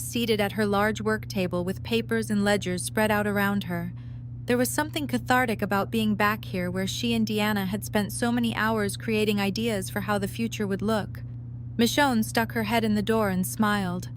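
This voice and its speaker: A woman narrating a scripted story as the narrator of a fan audio drama. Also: mid-range voice. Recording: light noise and hum.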